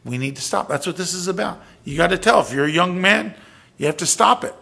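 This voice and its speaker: A middle-aged male pastor with a gravelly, husky voice pitched a little high. He preaches in a loose, conversational way, restarting his sentences, then pushing up to emphatic peaks before dropping almost to a whisper. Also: lively intonation, fairly quick, bright, forceful tone. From South Philadelphia. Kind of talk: sermon.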